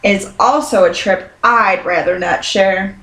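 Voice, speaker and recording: A woman voicing a sly father bear in a children's storybook, with drawn-out, dramatic warnings. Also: medium pitch, fairly bright tone, close to an everyday voice. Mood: mock-spooky warning. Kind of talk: children's storybook read-aloud. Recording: roomy, echoing sound.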